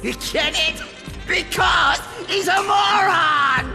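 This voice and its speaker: A man's voice: very high voice, takes it slowly, vocal fry, shouting, full character voice. A man voicing a vain, hysterical robot mad scientist in a game cutscene, ranting theatrically. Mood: gloating. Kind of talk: animated game cutscene acting. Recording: long reverb, music behind.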